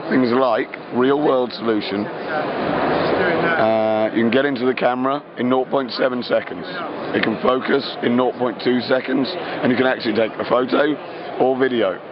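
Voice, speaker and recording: Man, croaky from overuse, chatty product walkthrough full of ums, dull lo-fi sound over loud background noise. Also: mid-range voice. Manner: fillers, quick geeky explaining. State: croaky, tired voice. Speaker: company product presenter. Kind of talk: casual product demo.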